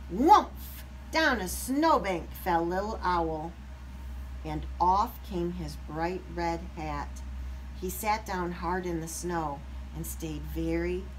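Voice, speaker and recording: A woman reading a picture book aloud to young children, expressive, with explanatory asides. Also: fairly low pitch, slight background noise, poor audio.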